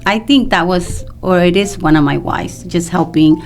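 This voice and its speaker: A woman in her fifties from El Salvador speaks in a fairly low register. She talks as a podcast guest, explaining things in a loose, conversational way, with soft music underneath. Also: normal speed. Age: 50s. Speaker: mortgage loan professional, podcast guest. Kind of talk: casual interview conversation. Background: music in the background.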